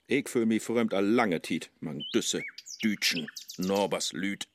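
An actor playing a brooding, world-weary scholar in a rhymed radio play, declamatory, with a Low German accent. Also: male voice, takes it slowly, background noise. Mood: melancholy. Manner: declamatory, melancholy.

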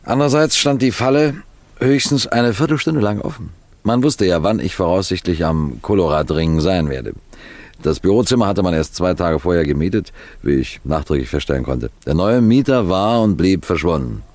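A man playing a composed, clever police detective, recounting a case in measured, precise radio mystery narration. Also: deep voice, animated, a little mellow. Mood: explaining, precise. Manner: measured, precise, deliberate storytelling.

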